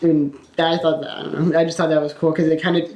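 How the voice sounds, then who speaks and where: A woman's voice: low voice, flat delivery, fast, crowd noise. A young woman co-hosting a podcast, chatting casually with friends about pro wrestling. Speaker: podcast co-host. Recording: roomy, echoing room sound.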